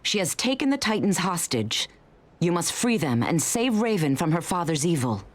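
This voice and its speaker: Game mission giver: urgent, grave, low-pitched woman with animated delivery. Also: normal speed. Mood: solemn, urgent. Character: solemn, urgent female hero giving mission orders. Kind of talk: scripted video game mission briefing.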